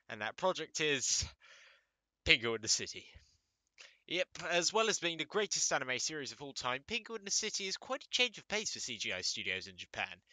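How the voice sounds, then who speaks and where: A man's voice: medium pitch, bright, forceful tone, no room sound. A man hosting a scripted comedic commentary in a sarcastic, mock-exasperated, sweary way. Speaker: host of an anime commentary video channel. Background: music under the voice.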